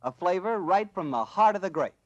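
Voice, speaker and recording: Male American host for vintage radio sponsor spots, swooping emphatic delivery, dry studio sound, medium pitch. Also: animated, brisk, a little mellow, no echo, muffled recording. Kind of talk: 1940s radio advertising and announcing.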